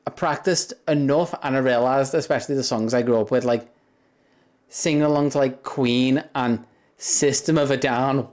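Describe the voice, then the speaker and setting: A young man with a deep voice talks loosely to his viewers on a livestream. He rambles from one thought to the next and breaks into laughter often. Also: darkish tone. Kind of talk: casual chat with viewers.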